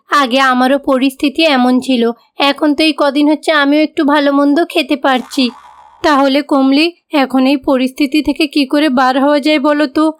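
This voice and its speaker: Casting: young Bengali daughter-in-law for cartoon, woman performer, high-pitched, meek, considerate and politely pleading. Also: natural voice. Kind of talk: moral-story cartoon voice acting.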